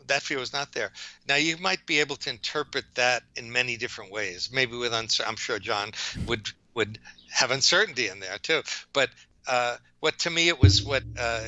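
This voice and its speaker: An older man with a New York accent gives rambling interview answers. His voice is very bright and forceful, and he often stops to correct himself. The recording is dry and slightly dull in fidelity. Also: mid-range voice, no room sound. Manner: rambling, halting, self-correcting. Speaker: OCD psychologist, interview guest.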